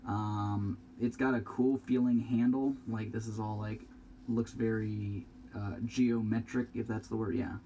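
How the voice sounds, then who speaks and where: A man's voice: on the low side, level tone, unhurried, warm tone, a little hiss or hum. A young man with a congested, sniffly voice rambles casually through an unboxing review at home, thinking aloud and swearing now and then. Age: young adult. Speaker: product reviewer. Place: small room at home, indoors.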